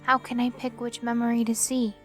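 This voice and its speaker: A woman voicing a reserved young female pony who speaks in a blunt, formal, deadpan way in an audio drama. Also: a little high, brisk, faint music behind, close to an everyday voice. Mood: dry curiosity. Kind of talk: acted dialogue in a fan-made audio drama.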